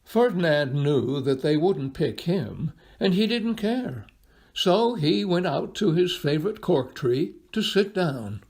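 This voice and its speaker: Slow, animated man reading a children's storybook. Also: fairly high pitch, vocal fry, breathy edge. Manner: expressive, dramatic pauses, addresses young listeners. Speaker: volunteer storybook reader. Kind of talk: children's picture book read-aloud.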